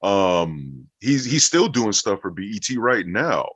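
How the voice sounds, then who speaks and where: A man's voice: lowish voice, very animated, unhurried, a bit bright, slightly rough, no echo. An American man from Las Vegas, a voice actor chatting casually as an interview guest. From Las Vegas, United States.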